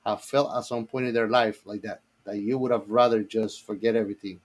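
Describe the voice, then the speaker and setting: A man's voice: lowish voice, steady tone, brisk. A man co-hosting a podcast in casual, informal chat, using slang and the odd swear word. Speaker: podcast co-host.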